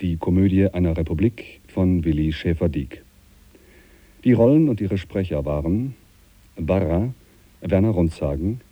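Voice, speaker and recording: Very dark-voiced, unhurried male radio announcer. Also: deep voice, no room sound. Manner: measured, list-like reading. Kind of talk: formal closing credits announcement.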